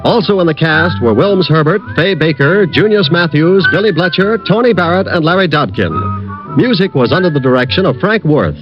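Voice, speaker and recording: Male vintage radio announcer, American, highish lively voice, formal projection, muffled recording over music. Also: a little fast. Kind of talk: scripted closing credits announcement.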